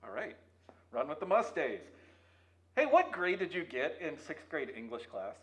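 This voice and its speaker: A man's voice: a little high, wildly swooping pitch, normal speed, a bit bright. A man hosting a casual interview with quippy, bantering questions and asides. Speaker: interviewer, host. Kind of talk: casual, jokey interview chat.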